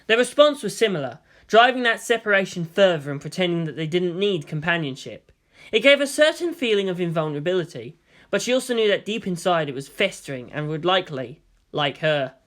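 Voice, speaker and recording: A narrator reads prose fiction aloud at a middle pitch. The delivery is scripted and dramatic, in the manner of an audiobook of fan fiction. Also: lively intonation, fairly quick, fairly bright tone.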